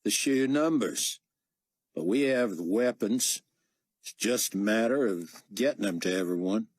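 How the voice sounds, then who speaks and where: A man's voice: on the low side, lively intonation, unhurried, slightly rough, no room sound, clean studio sound. A man playing a brash young security chief in an audiobook, blunt and casual but eager to please. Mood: businesslike.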